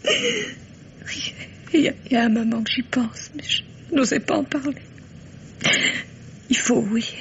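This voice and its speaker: An actress playing a distraught, pleading wife in an old radio mystery play, with a breathless, faltering, melodramatic delivery. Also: female voice, sits high, sing-song, unhurried, pauses often, heavily acted. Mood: fearful, resigned. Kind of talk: old French radio drama acting. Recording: dull lo-fi vintage radio, clear hiss.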